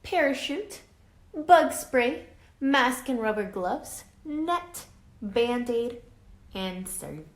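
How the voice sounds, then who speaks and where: A woman reading a children's picture book aloud like a teacher, deliberate and emphatic, drawing out words and adding explanatory asides. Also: fairly high pitch, big pitch swings. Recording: echoey room, faint background noise.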